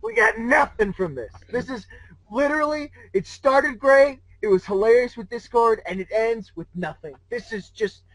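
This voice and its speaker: A man's voice: extremely high pitch, normal speed, fairly bright tone, dry recording, poor audio. A man chatting casually and jokingly as co-host of a fan fiction reading show.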